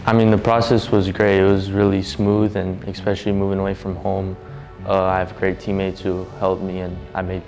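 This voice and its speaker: A teenage male soccer player giving earnest interview answers with filler pauses. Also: deep voice, low-quality recording. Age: teens.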